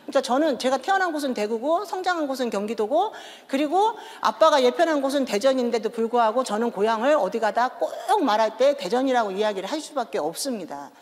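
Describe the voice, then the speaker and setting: A woman in her fifties with a Chungcheong accent, a pastor's wife giving a joking, animated testimony in a large church. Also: fairly high pitch, a little fast, few pauses. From Daejeon, South Korea. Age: 50s. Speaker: pastor's wife, guest speaker. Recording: echoey church hall.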